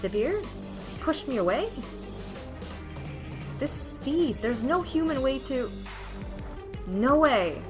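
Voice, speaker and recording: A woman's voice: sits high, big pitch swings, slow, pauses often, over music, exaggerated voice. A woman playing a frightened, desperate young hero in a visual novel, narrating in an emotional, melodramatic way. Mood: panicked, desperate. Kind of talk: dramatic first-person visual novel narration.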